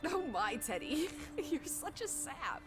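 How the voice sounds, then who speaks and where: A playful young American woman of the 1920s, speaks in a high, lively voice that rises and falls with teasing glee. Her talk is jaunty and full of old-fashioned slang. Music plays behind her. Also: takes it slowly, exaggerated voice. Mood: mocking. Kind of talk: video game voice acting.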